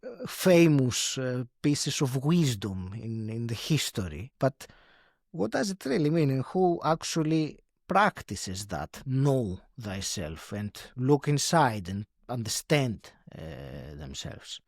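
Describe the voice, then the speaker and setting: A man from Greece, a guest in a podcast interview, speaking in a reflective, halting way with many fillers and restarts. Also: medium pitch, sing-song, creaky, dry recording, clean studio sound. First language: Greek. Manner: hesitant, many fillers and restarts, laughs often.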